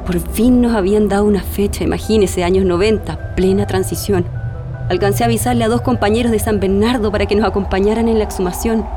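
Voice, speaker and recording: An actress with a Chilean accent playing a grieving daughter giving intimate, emotional testimony in a radio drama. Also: female voice, medium pitch, fairly even intonation, quick pace, natural voice. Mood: sorrowful, reflective. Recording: music behind the voice.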